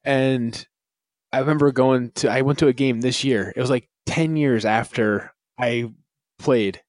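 A man hosting a casual podcast interview, talking in a relaxed, filler-heavy way. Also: medium pitch, a little slow, no echo, clean studio sound. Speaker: interviewer host.

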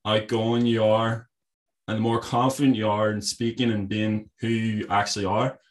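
Relaxed young man, podcast host. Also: lowish voice, normal speed. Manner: relaxed, laughs, self-described monotone. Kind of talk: casual podcast chat.

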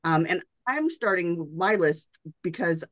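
A woman co-hosting a podcast, a little hoarse today. Her voice sits on the low side and swings widely in pitch as she talks in an animated way. It is recorded dry and close. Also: unhurried, dry recording. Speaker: podcast co-host. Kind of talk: casual podcast chat.

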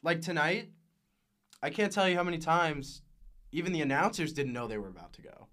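A young man with a fairly high voice talks sports on a podcast. He is animated and opinionated, and he leans hard on his points. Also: fairly quick. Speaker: podcast guest. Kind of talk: casual sports chat.

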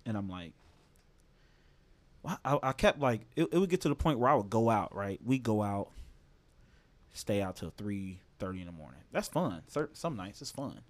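A man's voice: fairly low pitch, sing-song, normal speed, pauses often. A man in his twenties talking casually and candidly, swearing freely and often asking "you know what I mean?". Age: 20s. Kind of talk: casual chat.

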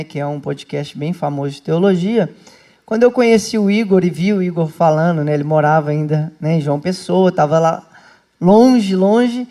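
A man, a pastor hosting a live talk for a small church group, speaking in a lively, chatty way and calling his listeners brothers and sisters. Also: a little high. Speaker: pastor and host. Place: indoor home church group meeting.

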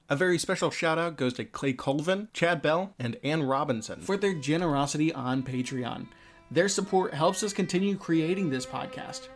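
Male podcast host, fairly high-pitched voice, presenting and interviewing. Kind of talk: podcast interview and scripted outro.

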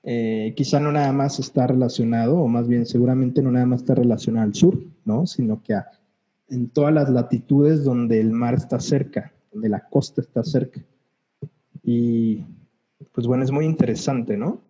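A man from Mexico City with a Mexico City accent, co-hosting a casual chat and explaining things in a relaxed way. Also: medium pitch, normal speed, muffled recording. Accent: Mexico City Spanish. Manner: explaining, relaxed, asks questions. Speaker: podcast co-host.

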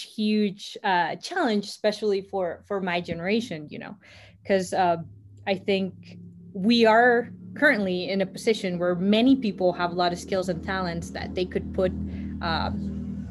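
A young woman from Costa Rica, answering interview questions thoughtfully, with frequent pauses and restarts. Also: mid-range voice, a little hiss or hum. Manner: thoughtful, many fillers and restarts. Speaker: guest.

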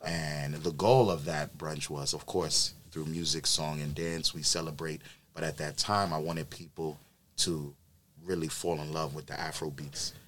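A man's voice: a bass voice, unhurried, slight background noise. A man who grew up in New York, an event planner chatting casually on a podcast, telling his story with plenty of ums and likes. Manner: relaxed storytelling with many fillers.

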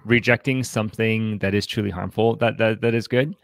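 A man hosting a podcast, discussing in a casual way, haltingly, often repeating words and saying "like". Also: fairly low pitch, fairly even intonation, creaky, dry recording. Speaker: podcast host.

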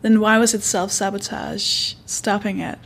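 A woman's voice: mid-range voice, level tone, measured pace. Danish-accented woman, podcast host, chatty, encouraging, conversational interview. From Denmark. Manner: chatty, encouraging, warm laughs.

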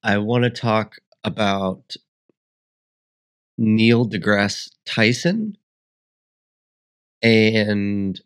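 A man hosting a solo podcast, congested and sniffly, talking in a rambling, reflective way with frequent restarts. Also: on the low side. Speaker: podcast host.

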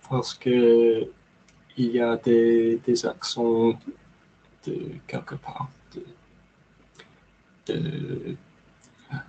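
A man from Yorkshire, a native English speaker, chatting slowly and haltingly as he searches for words. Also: medium pitch, gravelly, warm tone. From England, Yorkshire. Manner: slow and halting, searching for words, many pauses. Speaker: podcast co-host. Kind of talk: casual chat in a second language. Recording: dull lo-fi audio with faint noise.

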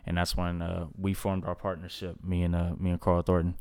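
A man in his twenties with a deep baritone voice, a guest chatting casually in an interview, rambling with many fillers. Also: monotone, a little fast, warm tone, clean tone, no room sound, clean studio sound. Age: 20s. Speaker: guest, recording artist.

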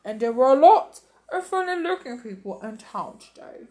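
A woman's voice: high voice, big pitch swings, moderate pace, darkish tone. A woman reading aloud, voicing a stuffy middle-aged husband who mumbles and hesitates nervously.

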